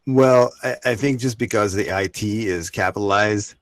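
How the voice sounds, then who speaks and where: A man's voice: fairly low pitch, moderate pace, no room sound. A man hosting an interview, asking questions in a hesitant, rambling way with many "you know"s. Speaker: interview host. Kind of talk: casual interview chat.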